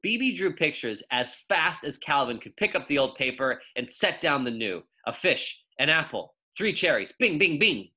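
Animated young man reading aloud, bright voice. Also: a little high. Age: young adult. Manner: animated, emphatic, with drawn-out key words. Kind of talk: expressive children's read-aloud with brief teaching asides.

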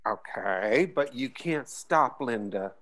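Calm, insistent crossing guard played by a woman, deep for a woman, plain-spoken. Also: slow pace, exaggerated voice. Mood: calm insistence. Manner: flat, polite, matter-of-fact insistence. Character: unflappable crossing guard, mildly stubborn. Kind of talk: table read acting. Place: at home, video call.

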